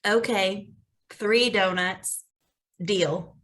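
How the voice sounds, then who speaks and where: Woman playing an earnest, bright-voiced grieving daughter. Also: mid-range voice, extremely slow. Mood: accepting. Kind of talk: animated comedy table read.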